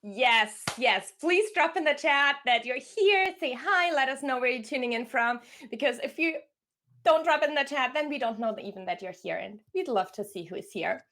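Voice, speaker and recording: A woman's voice: high voice, animated, moderate pace, a bit bright. An enthusiastic, effusive woman from Germany, talking conversationally as a career coach hosting a live online workshop.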